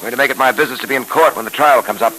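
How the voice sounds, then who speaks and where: Guy playing steady american detective hero, clipped and forceful, old hissy 30s radio serial. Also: mid-range voice, rapid-fire, natural voice, low-quality recording. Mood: easygoing, firm. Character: shrewd, steady, protective adult male detective hero. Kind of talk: 1930s radio serial acting. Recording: hissy 1930s radio recording.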